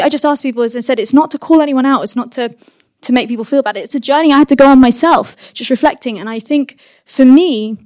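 A young woman, a film director giving a reflective interview answer, thinking aloud with frequent restarts. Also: fairly high pitch. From London, UK. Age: young adult. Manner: thoughtful and circling, with many restarts and repeated "again".

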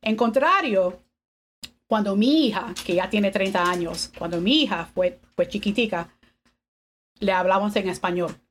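A woman in her fifties, a podcast guest, telling family stories in a relaxed way and mixing English and Spanish. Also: medium pitch, unhurried, a little hiss or hum. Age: 50s. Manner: switches between English and Spanish, laughs often. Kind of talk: casual bilingual conversation.

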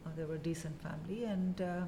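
A woman in her fifties from India with an Indian English accent, telling her story as a guest in a casual interview, measured and full of pauses. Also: sits low, fairly even intonation, dark tone, in a large hall, slight background noise. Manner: measured, many pauses and "uh" fillers.

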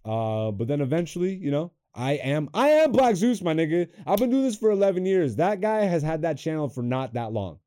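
A young man hosting a comedy podcast in a studio, chatting loosely with lots of swearing, swinging between loud hype and low mumbles. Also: expressive, brisk, hardly any pauses, no room sound. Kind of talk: casual podcast chat.

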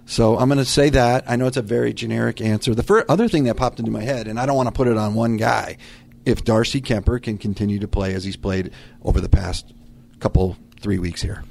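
Guy w a gravelly creaky voice, podcast cohost, casual, wry and teasing. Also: fairly low pitch, steady tone, a little fast, a little mellow. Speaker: podcast co-host, team news director. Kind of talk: casual chat.

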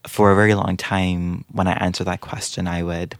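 Young man, very low voice, thoughtful, hesitant restarts, dry close recording. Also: level tone, normal speed, dry recording. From Trinidad. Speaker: guest. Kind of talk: reflective personal interview.

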